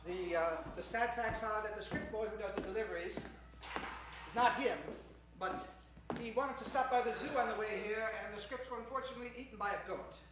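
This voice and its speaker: A man's voice: high voice, moderate pace, noisy background. A man announcing a live improvised radio show on stage, courteous and mock-formal, in the manner of an old-time showman. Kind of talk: theatrical live emceeing to an audience. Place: stage in front of a live audience. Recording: echoey hall, distant microphone.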